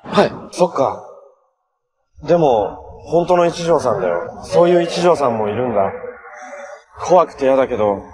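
A young man acting in a TV drama as a cheerful, selfless hero, speaking naturally in a gentle, earnest way. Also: mid-range voice, expressive, normal speed, pauses often, a crowd in the background, lightly acted. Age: young adult. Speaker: actor in a superhero TV series. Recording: background noise, some reverberant scenes.

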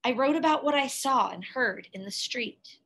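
A woman reading a picture book aloud expressively, in a measured way with dramatic pauses and emphasis. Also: sits high, expressive, creaky, fairly bright tone, slightly rough. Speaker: read-aloud storyteller, teacher.

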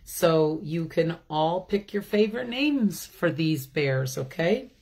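Very slow-talking older woman reading a storybook. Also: sits low, expressive, a little mellow. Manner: slow, asks listeners questions. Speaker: pastor hosting children's story time. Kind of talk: animated children's storybook reading with chatty asides. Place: small room at home, indoors. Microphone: phone or webcam at arm's length.

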